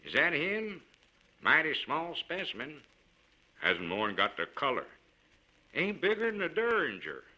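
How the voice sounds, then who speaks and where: A man voicing rough, critical frontier miners with a folksy, dismissive manner in a storybook reading. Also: on the high side, huge pitch swings, unhurried, long pauses, sharp tone, muffled recording, voice pushed up, full character voice. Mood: dismissive curiosity.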